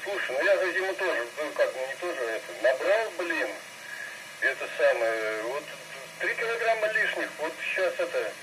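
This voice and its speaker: A man heard over two-way radio, chatting casually and a bit haltingly as an amateur radio operator, picked up from the transceiver's speaker. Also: a little high, big pitch swings, background noise. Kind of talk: casual ham radio chat. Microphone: radio speaker picked up by phone.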